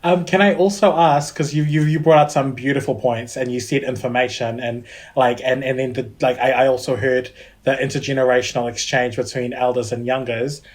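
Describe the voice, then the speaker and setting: A man's voice: medium pitch, steady tone, fairly quick, hardly any pauses. A man hosting a podcast, asking casual interview questions and repeating words as he searches for his phrasing. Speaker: podcast host.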